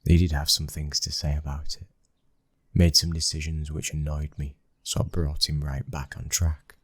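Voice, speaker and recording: Very deep, husky British mafia lover played by a man. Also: flat delivery, normal speed, no room sound. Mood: firm, protective. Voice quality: husky, breathy, often near whisper. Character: brooding young mafia boss's son, protective, obsessive lover. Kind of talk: intimate ASMR boyfriend roleplay.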